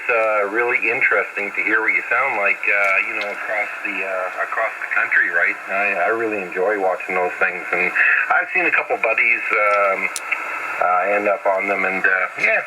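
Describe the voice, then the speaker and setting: Loud radio noise surrounds a man transmitting on CB. His voice sits a little low, and he talks in a folksy, easygoing, chatty way, like one hobby operator to another. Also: normal speed. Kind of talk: casual CB radio chat. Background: loud radio static and noise.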